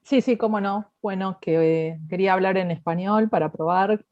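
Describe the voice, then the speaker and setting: A woman speaker testing interpretation on a call, upbeat and quick. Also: medium pitch, a little mellow, no room sound. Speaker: webinar speaker. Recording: dull, dry call audio.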